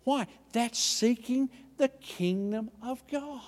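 A man with an Oklahoma accent preaching a sermon in a church, with a folksy manner, dropping low and quiet and then rising loud. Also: slow pace, in a large hall. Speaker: pastor.

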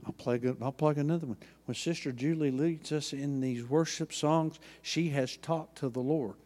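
A man with an Oklahoma accent preaching a sermon in a church, with a folksy manner, dropping low and quiet and then rising loud. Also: fairly even intonation, moderate pace, big-room sound. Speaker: pastor.

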